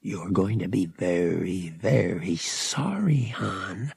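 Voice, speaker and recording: Guy doing a nasty young cousin in an audiobook, mocking and gleeful, voice jumps up high, kinda gravelly. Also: expressive, measured pace, dry recording, studio quality, full character voice. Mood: quiet menace. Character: taunting, cruel young male cousin, sadistically gleeful.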